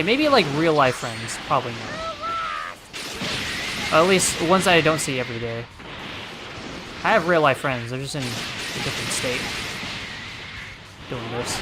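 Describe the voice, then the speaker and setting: Excitable game streamer with animated pitch. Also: normal speed, long pauses, over loud music. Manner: excitable, playful outbursts. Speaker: livestreamer. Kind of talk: casual gameplay commentary with shouted move names.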